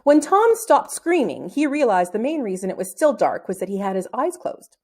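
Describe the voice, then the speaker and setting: A woman reading a children's book aloud as an expressive storyteller who acts out the dialogue. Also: fairly high pitch, normal speed. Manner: animated, acting out the dialogue.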